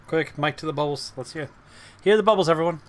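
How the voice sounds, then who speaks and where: A man's voice: on the high side, quick pace. A man chatting casually and recapping the story as game master and host of a tabletop game stream. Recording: clear background noise, live stream.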